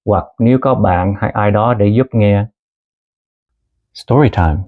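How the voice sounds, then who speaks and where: A man in his thirties who grew up in Canada, narrating a personal story as a language teacher in a calm, earnest way and mixing two languages. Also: fairly low pitch, a little slow, phone-quality sound. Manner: calm, earnest storytelling, switching between Vietnamese and English. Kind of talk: scripted lesson narration.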